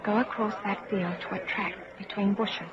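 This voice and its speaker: An actress in a 1940s radio drama playing a bold, rebellious woman in her twenties, brisk, blunt and secretly amorous. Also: medium pitch, fairly even intonation, close to an everyday voice. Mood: brisk, secretive. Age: 20s. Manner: brisk, blunt, matter-of-fact. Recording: muffled, noisy 1940s radio broadcast recording.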